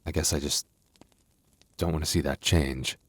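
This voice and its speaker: A man playing a gentle, sheepish young werewolf boyfriend in an intimate ASMR roleplay, speaking softly and hesitantly. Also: rumbling low, fairly even intonation, moderate pace, breathy edge, heavily acted. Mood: sheepish, reassuring. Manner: soft, hesitant, stammering.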